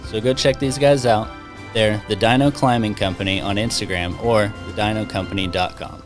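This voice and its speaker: Male podcast host, slightly low voice, relaxed and slangy, conversational delivery. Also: moderate pace, over music. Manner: laid-back, slangy, swearing, often says bro. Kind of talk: casual podcast chat.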